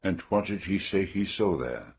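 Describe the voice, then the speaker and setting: A man playing a grave, methodical detective in a radio drama, questioning formally and deliberately. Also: deep voice, animated, darkish tone, a little hiss or hum. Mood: probing, calm. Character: methodical, probing older male detective, calm and grave.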